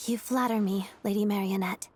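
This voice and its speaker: A woman playing a composed young female soldier and ballerina in a video game, speaking in a measured, courteous, clipped way. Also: medium pitch, fairly even intonation, a little mellow, a little hiss or hum, lightly acted. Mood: polite, formal.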